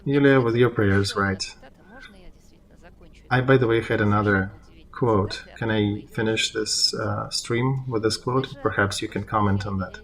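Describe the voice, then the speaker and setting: A man interpreting a political discussion live, in halting phrases as he translates on the fly. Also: fairly low pitch, fairly even intonation.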